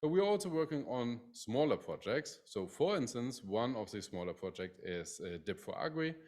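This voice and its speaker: A man's voice: medium pitch, big pitch swings, normal speed, darkish tone. A middle-aged man with a German accent giving a conference talk as a professor, with lots of "like" fillers and restarts. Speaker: professor presenting his lab. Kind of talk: conference presentation.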